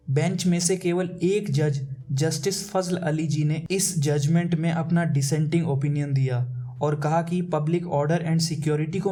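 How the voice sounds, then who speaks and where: A man's voice: on the high side, dark tone. An Indian man presenting a scripted educational narration in a formal, methodical explaining manner. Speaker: presenter of legal case explainers.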